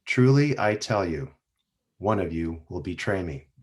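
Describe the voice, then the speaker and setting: A man reading the part of Jesus in a church passion play, solemn and measured. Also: deep voice, big pitch swings, a little mellow, full character voice. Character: Jesus, grave calm male teacher. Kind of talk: dramatic scripture reading. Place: church sanctuary.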